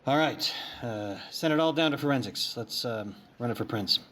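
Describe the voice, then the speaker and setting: An actor playing a gruff, blunt police captain in a crime audio play, with a husky voice and rough, plain-spoken manner. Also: male voice, medium pitch, lively intonation, moderate pace, fairly bright tone, close to an everyday voice. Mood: brisk, commanding.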